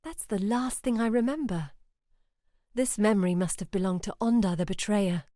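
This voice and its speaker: Video game heroine, played by a woman: earnest, brooding scholar. Dark, mellow timbre, reflective dramatic read, dry studio sound. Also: mid-range voice, normal speed, dry recording, close to an everyday voice. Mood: reflective, unsettled. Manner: earnest, reflective, theatrical delivery.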